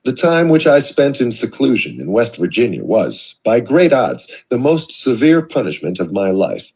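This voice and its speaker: Man, husky voice, lively rising and falling pitch, measured earnest audiobook reading. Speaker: audiobook narrator. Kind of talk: scripted audiobook narration.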